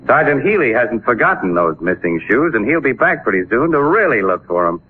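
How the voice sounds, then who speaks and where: Actor as probing 1940s radio newshound, muffled recording. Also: male voice, mid-range voice, very animated, a little fast, a little hiss or hum, natural voice. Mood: casual, determined. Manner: casual, clipped, probing. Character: sharp, persistent newspaper photographer sleuth, adult man. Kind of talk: 1940s radio drama acting.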